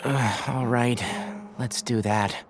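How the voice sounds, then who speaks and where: A man voicing a sensible, polite young fox-eared scholar in a video game, explaining things in measured, articulate sentences. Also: mid-range voice, steady tone, over music.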